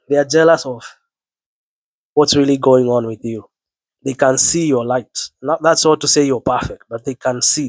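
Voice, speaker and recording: A man with the flu delivers an earnest sermon to his online viewers in a voice that sounds low and worn from illness. He leans on biblical phrasing and repeats his words. His pitch is ordinary for a man, and the recording is close and dry. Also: darkish tone, no room sound. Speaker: online preacher. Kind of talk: spoken sermon to camera.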